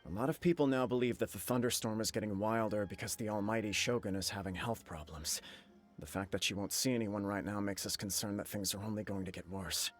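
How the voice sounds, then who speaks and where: Actor as earnest, low-voiced clan heir. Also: male voice, a little fast, faint music behind, lightly acted. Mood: grave. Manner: earnest, heavy with duty. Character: young male noble clan heir, earnest and self-reproaching. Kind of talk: game cutscene dialogue.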